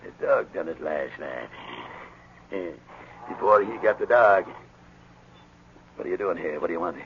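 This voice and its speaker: An actor playing a big, cruel frontier villain who taunts and bullies in rough folksy speech, in 1940s radio drama style. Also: male voice, deep voice, expressive, a little slow. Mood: gruff. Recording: dull, noisy 1940s radio recording.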